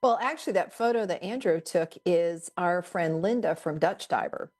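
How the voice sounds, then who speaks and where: A woman with a somewhat low voice chatting as a podcast guest. She talks in a relaxed, good-humored way with a teasing edge. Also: moderate pace. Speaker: magazine contributor, guest. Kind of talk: casual podcast chat.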